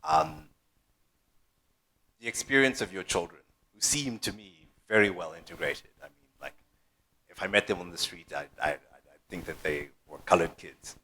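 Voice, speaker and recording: A man's voice: sits low, moderate pace, long pauses, sharp tone, rough recording. A man from South Africa whose first language is Afrikaans, moderating a panel discussion and asking thoughtful questions with frequent restarts. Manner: thoughtful, hesitant with repeated restarts.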